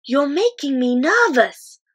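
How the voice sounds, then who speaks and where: Give me a timid, polite young girl, a woman putting her on, voice raised high. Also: sing-song, slow pace, a bit bright, exaggerated voice. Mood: nervous.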